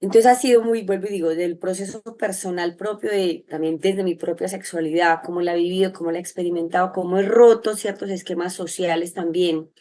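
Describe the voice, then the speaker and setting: A woman with a Medellín Colombian accent, answering in an interview in an expressive, reflective, rambling way. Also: lowish voice, a little fast, hardly any pauses. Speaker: interview guest, sexologist and psychologist.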